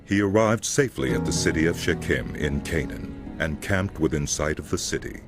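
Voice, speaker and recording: A man narrating scripture from a script as a solemn, measured storyteller. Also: rumbling low, lively intonation, loud music behind the voice.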